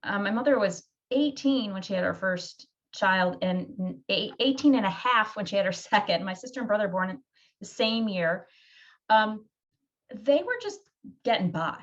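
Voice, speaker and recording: Woman chatting, emphatic and quick with her stories, audio a bit muffled. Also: medium pitch, expressive. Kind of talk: casual conversation.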